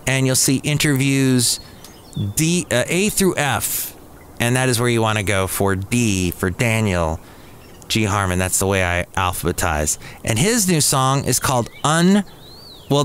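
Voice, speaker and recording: A man's voice: medium pitch, a little hiss or hum. Chatty man hosting a music podcast. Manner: chatty, offhand, reads listener emails aloud. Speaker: podcast host. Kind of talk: casual podcast chat introducing songs. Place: café.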